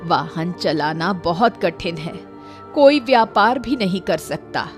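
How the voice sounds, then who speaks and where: A woman's voice: fairly low pitch, expressive, slow pace, soft background music. A woman voicing a plain-spoken, respectful old village woman in a cartoon story, deferential but complaining. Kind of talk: Hindi cartoon story voice acting.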